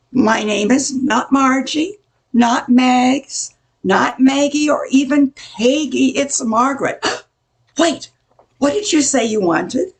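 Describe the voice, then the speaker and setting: A sassy, weary diner waitress in a radio comedy played by an older woman. She has a gravelly, husky voice and talks slowly, with a folksy, curt manner. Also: high voice, full character voice. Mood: offended. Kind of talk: comic old-time radio play acting.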